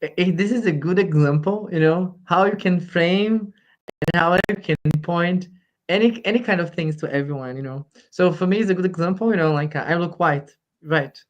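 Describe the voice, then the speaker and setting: A man from Brazil with a Brazilian Portuguese accent, speaking in a panel discussion with halting restarts. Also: high voice, highs cut off. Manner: halting, many restarts and fillers.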